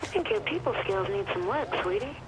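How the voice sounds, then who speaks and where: A woman's voice: mid-range voice, big pitch swings, fairly quick, natural voice. Actress as a mock-sweet, cruel gangster's girlfriend. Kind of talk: video game cutscene acting. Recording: clear background noise.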